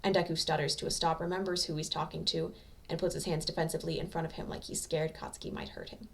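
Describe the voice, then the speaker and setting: A woman reading a story aloud as an amateur narrator, with expressive scripted delivery. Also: low-pitched, fast, vocal fry, far from the mic.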